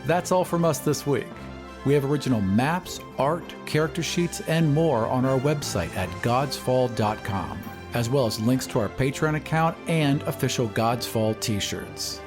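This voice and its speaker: A man's voice: medium pitch, expressive, unhurried. A man narrating and hosting a fantasy tabletop game podcast as game master. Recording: music under the voice. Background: music.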